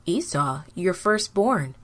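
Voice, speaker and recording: A woman's voice: lowish voice, sing-song, takes it slowly, exaggerated voice. A woman playing a dutiful but deceitful young son in a children's Bible story, speaking plainly and earnestly. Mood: falsely earnest.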